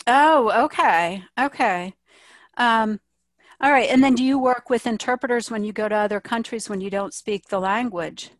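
A woman's voice: on the high side, moderate pace, no room sound. A woman hosting a business podcast, asking questions and retelling earlier guests' stories in a conversational way. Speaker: podcast host. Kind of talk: interviewer chat.

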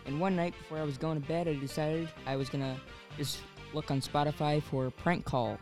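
A man hosting a podcast in a casual, unscripted monologue, rambling a little and halting as he goes. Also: a little high, steady tone, music in the background. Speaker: podcast host.

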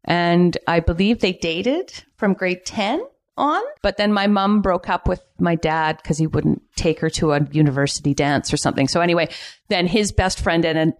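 A middle-aged woman with a Canadian accent, chatting casually and reminiscing as an interview guest, quick to laugh. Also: sits low. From Vancouver, Canada. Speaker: podcast guest, cookbook author.

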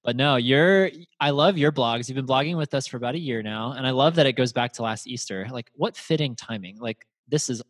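A man's voice: medium pitch, a little fast, hardly any pauses, a bit bright, dry recording. A man hosting a casual podcast, upbeat and chatty, laughing often as he talks with a guest over a call. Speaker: podcast host.

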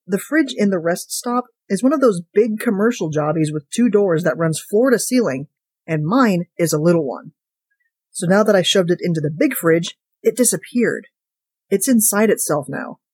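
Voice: mid-range voice, darkish tone, breathy edge, dry recording. A podcast host reading a short story as a wry, put-upon ordinary narrator, in a sardonic, conversational monologue. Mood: resigned, confiding. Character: wry, chatty, put-upon ordinary fridge-portal owner.

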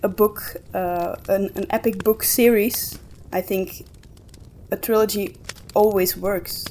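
A woman co-hosting a podcast in a casual book discussion, thinking aloud in a halting way as she gives her opinions. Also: mid-range voice, steady tone, a little mellow, a little hiss or hum. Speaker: podcast co-host.

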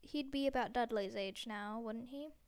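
A woman's voice: a little high, moderate pace, clean tone, close to an everyday voice. Woman reading as a stammering, nervous husband. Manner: stammering, hesitant. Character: nervous stuffy middle-aged husband.

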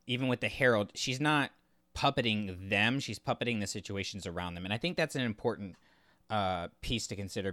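A man co-hosting a podcast in casual analytical discussion, thoughtful and halting as he reasons aloud. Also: fairly low pitch, sharp tone, dry recording. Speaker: podcast co-host.

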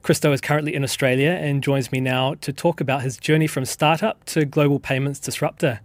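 A man's voice: mid-range voice, fairly even intonation, a little fast, no echo. A man hosting a business interview, reading a scripted introduction and then asking friendly questions. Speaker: podcast interviewer.

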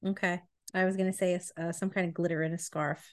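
A woman's voice: fairly low pitch, level tone, quick pace. A woman co-hosting a podcast in casual chat, with a blunt, joking manner. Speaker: podcast co-host.

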